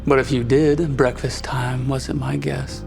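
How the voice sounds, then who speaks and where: An actor playing a composed, businesslike duke in an audio drama, cool and understated. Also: male voice, medium pitch, little pitch movement, a little slow, gravelly, over music. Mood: cool, dry.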